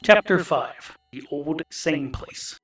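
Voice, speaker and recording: A man's voice: on the high side, lively intonation, slow, a bit bright, slightly rough, dry recording, soft background music. A man narrating a comic fantasy tale in a wry, dry, scripted manner.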